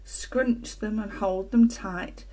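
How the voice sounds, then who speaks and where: Female meditation teacher, gentle and breathy-soft, very unhurried, deliberate phrasing for guided relaxation. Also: mid-range voice, fairly even intonation. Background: ocean waves sound loop.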